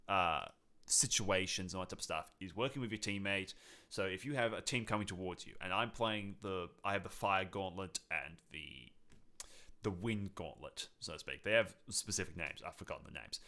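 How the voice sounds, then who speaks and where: A man's voice: deep voice, bright, forceful tone. A man co-hosting a podcast, chatting casually about video games in a rambling way that often doubles back on itself. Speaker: podcast co-host.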